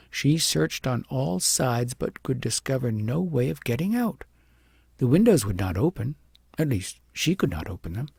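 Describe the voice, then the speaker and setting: A man narrating an audiobook fairy tale expressively, with deliberate, dramatic emphasis. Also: mid-range voice, gravelly, a little mellow, husky, no echo, studio quality.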